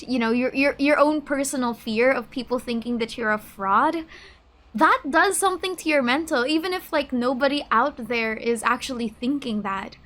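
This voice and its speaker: A woman's voice: high voice, fairly bright tone. A woman giving casual let's-play commentary, reacting aloud and empathetically to a game's story. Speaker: gaming YouTuber.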